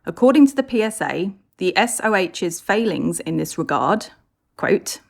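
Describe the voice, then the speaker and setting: An Australian woman reading a scripted news report in a measured, formal way. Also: on the low side. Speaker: guest news reader.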